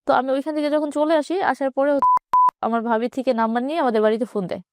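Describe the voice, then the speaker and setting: Interview guest: Bangladeshi woman, twenties, slightly high voice, rapid plain storytelling. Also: fairly even intonation, no room sound, clean studio sound. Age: 20s. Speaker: interview guest telling own life story.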